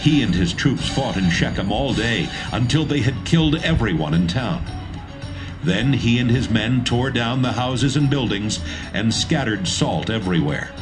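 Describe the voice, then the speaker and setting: A man narrating a dramatised Bible reading in a steady, measured way. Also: lowish voice, poor audio. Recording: narration over music.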